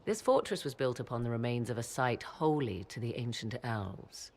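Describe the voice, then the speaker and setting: An actress playing a proud, mysterious sorceress in a fantasy video game, with theatrical, archaic and measured phrasing. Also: female voice, rumbling low, expressive, darkish tone, full character voice. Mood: solemn, mysterious. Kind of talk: dramatic video game acting.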